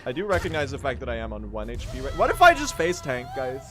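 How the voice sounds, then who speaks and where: A young Swedish man live-streaming a speedrun, chatting with his viewers in a jokey, self-mocking way and bursting into yells at the game. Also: medium pitch, big pitch swings, fast. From Sweden. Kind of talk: live gaming stream chat. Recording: game music loud under the voice.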